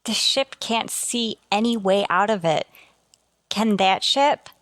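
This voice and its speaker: Actress as female starship communicator: mid-pitched, careful, earnest, sci-fi radio drama. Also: slow pace, a bit bright, no room sound, studio quality. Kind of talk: golden age sci-fi radio drama acting.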